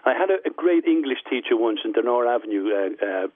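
A man in his sixties with a Dublin Irish accent, speaking as a guest in a reflective radio interview, measured and often repeating words as he restarts. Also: medium pitch, lively intonation, phone-quality sound. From Dublin, Ireland. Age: 60s. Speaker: guest, former footballer and manager.

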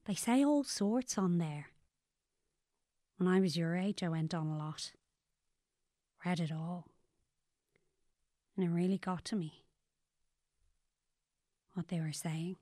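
A woman's voice: fairly low pitch, warm tone, no room sound, studio quality. A woman acting naturalistically in a radio drama as a guarded, protective elder to someone younger, speaking plainly and matter-of-factly.

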